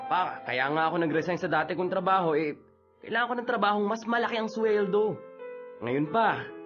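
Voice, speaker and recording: An actor playing a brash, cheerful younger brother, animated and teasing, in a radio drama. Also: male voice, sits high, moderate pace, music in the background, natural voice. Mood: insistent. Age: young adult. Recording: dull, band-limited radio drama audio.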